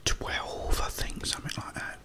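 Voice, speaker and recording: A middle-aged British man telling rambling, unhurried anecdotes as an ASMR host in between bites of food. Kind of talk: whispered ASMR storytelling while eating.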